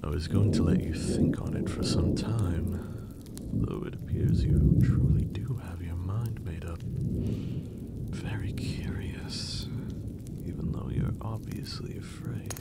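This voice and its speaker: Guy doing a possessive vampire master for asmr roleplay, super slow n deep, breathy, seductive, darkly polite. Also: exaggerated voice. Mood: calm, menacing. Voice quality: breathy, low, creaky. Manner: slow, deliberate, formal, seductive.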